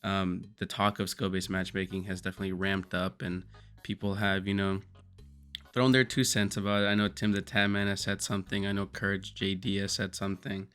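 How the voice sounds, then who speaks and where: A man with a hoarse voice from screaming, giving rambling, profane, jokey gaming commentary as a streamer. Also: low-pitched, level tone, fairly quick, fairly bright tone.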